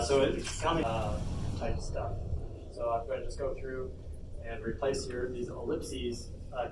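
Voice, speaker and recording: A man heard from a distance in a noisy, very roomy space. He walks through a software demonstration step by step, informal and halting as he thinks aloud. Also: mid-range voice. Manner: informal, halting narration of own steps. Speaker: workshop presenter, coder. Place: indoor convention panel room. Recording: roomy, distant, noisy panel room recording.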